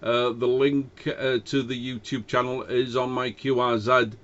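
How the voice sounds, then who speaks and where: Man, mid-pitched, monotone, courteous, hesitant, casual radio contact, cheap dynamic mic. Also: measured pace. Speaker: amateur radio operator. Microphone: cheap dynamic microphone over radio. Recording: dull lo-fi radio link audio.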